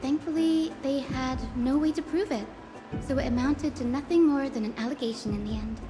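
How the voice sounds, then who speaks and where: High-voiced young woman storyteller, acted, game music behind. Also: a little fast, close to an everyday voice. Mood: storytelling, gently wry. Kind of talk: scripted narrative dialogue in a video game. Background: background game music.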